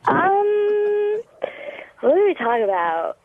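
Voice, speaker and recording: A woman chatting casually as a radio guest, speaking in a halting, vague way. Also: very high-pitched, sing-song, no room sound, phone-quality sound. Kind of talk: casual chat.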